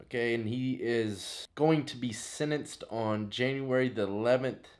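A man's voice: mid-range voice, a little slow, a little mellow. A man in his thirties with an American accent, hosting a show in a casual, slangy, informal way. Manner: informal, slangy, addresses listeners as "man" and "y'all". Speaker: host of a story-time video show. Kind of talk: casual chat. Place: small room with gaming setup at home.

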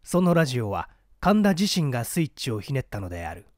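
A man's voice: medium pitch, very animated, quick pace, no room sound, studio quality. A man reading a mystery story aloud as a scripted literary narration. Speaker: audiobook narrator.

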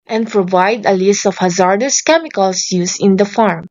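A woman teacher slowly reading farm safety lesson slides aloud, item by item. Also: medium pitch, a little mellow, muffled recording. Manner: slow, deliberate reading of lists.

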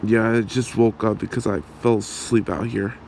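Man playing a shy, gravelly-voiced young guy. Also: on the low side, steady tone, a little hiss or hum. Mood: flustered, friendly. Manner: hesitant, halting, soft-spoken. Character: shy, awkward young man smitten with childhood friend. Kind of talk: ASMR roleplay.

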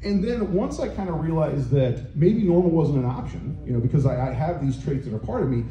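A middle-aged man giving a conference talk on a handheld microphone in a hotel meeting room, loud and booming, with many fillers and restarts. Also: expressive, brisk, highs cut off. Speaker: conference presenter. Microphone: handheld microphone through room speakers. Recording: echoey conference room, faint noise.

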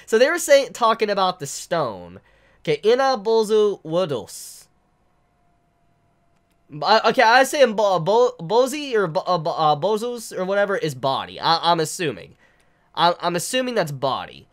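A man's voice: sits high, very animated, moderate pace. A young man in his twenties, congested with the flu, reviewing a manga chapter to camera from his living room, rambling and stammering as he sounds out made-up words. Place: living room with couch, indoors.

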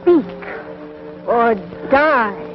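A woman's voice: fairly high pitch, animated, extremely slow. An actress playing an imperious, menacing space queen in a 1950s television sci-fi serial, commanding and theatrical. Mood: cold threat. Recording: muffled old TV soundtrack with music.

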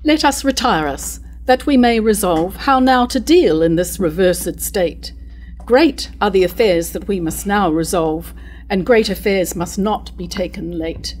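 A woman playing a queen's loyal, argumentative noblewoman mother, giving measured, formal verse in a staged reading of a tragedy. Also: mid-range voice, a little mellow, slight background noise, close to an everyday voice. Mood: firm, defensive. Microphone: stand microphone at lectern.

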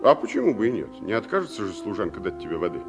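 An actor playing a kindly, jaunty tramp in a vintage radio drama. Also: male voice, lowish voice, huge pitch swings, rapid-fire, vocal fry, over music, exaggerated voice. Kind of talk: 1960s radio drama acting.

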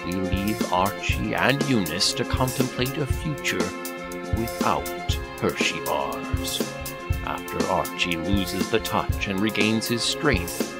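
A man's voice: low voice, vocal fry. A man giving scripted narration and credits for a radio drama. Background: loud background music.

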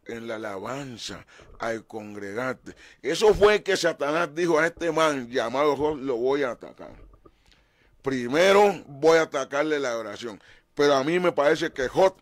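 A man's voice: mid-range voice, fairly bright tone, no room sound. Emphatic preaching man, dry studio. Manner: emphatic, gestures broadly, dramatic rises in voice. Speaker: pastor and show host. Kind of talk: animated preaching talk show. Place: small studio with painted backdrop. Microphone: desk mic on stand.

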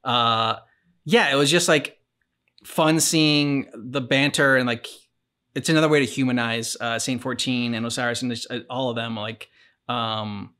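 A man in his thirties with a mid-pitched voice. He co-hosts a reaction show and talks casually, feeling his way through loose, rambling thoughts with plenty of hesitation. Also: fairly even intonation, unhurried, sharp tone. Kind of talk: casual chat. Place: small furnished room, on a couch.

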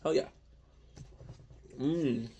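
A man's voice: mid-range voice, very slow, dark tone, dull audio, a little hiss or hum. A young man with a Québécois French accent, chatting casually in a candy-tasting vlog, rambling and joking with his viewers, recorded on a phone at arm's length in a small room. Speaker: vlogger. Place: small room indoors at night.

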